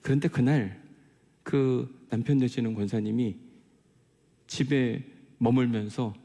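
A man's voice: mid-range voice, fairly even intonation, measured pace, creaky, dark tone. A male pastor giving a sermon in an earnest, conversational way, telling personal stories and asking rhetorical questions. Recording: long hall reverb.

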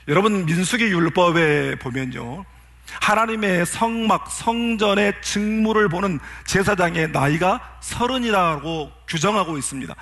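Man, pastor, high voice, earnest sermon, rhetorical questions. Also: a bit bright.

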